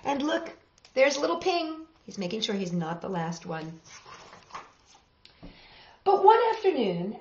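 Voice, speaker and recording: A middle-aged woman reading a picture book aloud as a storytime host, expressive and dramatic, addressing young listeners directly. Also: medium pitch. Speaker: children's storytime host and reader. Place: small home room, indoors, seated on a sofa. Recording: roomy, slightly dull home video sound.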